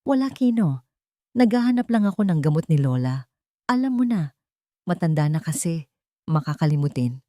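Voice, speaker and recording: Female narrator as bitter scheming wife, cold, resentful, lower-pitched, dry close recording, audio story. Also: sing-song, moderate pace, long pauses, dark tone, no room sound. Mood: falsely casual.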